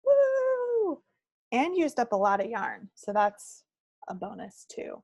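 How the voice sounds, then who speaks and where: A woman's voice: mid-range voice, a little slow, gravelly, no echo. A woman co-hosting a craft podcast, chatting casually in a rambling, playful way. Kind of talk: casual chat about projects. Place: recorded at own end of a remote video call.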